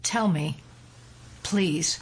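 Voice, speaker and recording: A woman's voice: lowish voice, extremely slow. An actress playing a serious, guarded and determined female federal agent in an audio drama, restrained and earnest. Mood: concerned, questioning.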